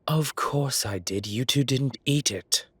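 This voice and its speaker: A suave gentleman boss, acted by a man for an audio roleplay, teasing and theatrical. His voice is husky and breathy, and he drawls very slowly with arch relish. The recording is close and dry. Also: mid-range voice, no room sound. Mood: playful prodding. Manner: drawling, arch, playfully dramatic. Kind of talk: scripted intimate roleplay acting.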